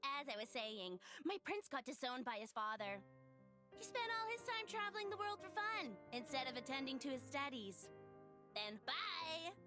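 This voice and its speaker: A woman's voice: sits high, big pitch swings, normal speed, bright, forceful tone, full character voice. A woman playing a cheeky, teasing companion to a prince in a video game cutscene. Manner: playful, teasing. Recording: music behind the voice.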